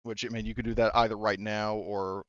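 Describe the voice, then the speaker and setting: A man's voice: lowish voice, flat delivery, fairly quick, no echo, highs cut off. A man talking casually as a game master, rambling and hedging as he thinks aloud. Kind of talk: casual tabletop game talk.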